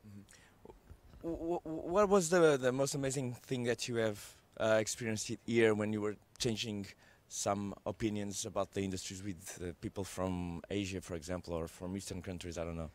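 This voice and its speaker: A man's voice: lowish voice, steady tone, moderate pace. A man interviewing a group of artists at an event, chatty and hesitant, with many fillers and restarts. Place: event venue.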